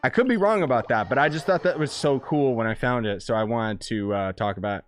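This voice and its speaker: A young man doing casual live game commentary in an excitable, playful, slangy way. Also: medium pitch, fast. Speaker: game streamer. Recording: game music behind the voice. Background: game music.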